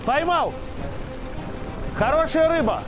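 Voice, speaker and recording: A man's voice: very high voice, big pitch swings, slow pace, music in the background. A man in his thirties hosting a fishing show, chatting and exclaiming as he fishes on a rocky riverbank by a dam. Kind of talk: excited outdoor chat while fishing.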